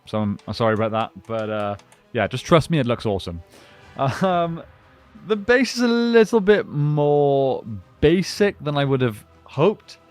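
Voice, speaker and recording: A man's voice: medium pitch, big pitch swings, unhurried, faint music behind. A man giving a casual unboxing and review, talking informally and thinking aloud as he goes. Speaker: toy reviewer and collector.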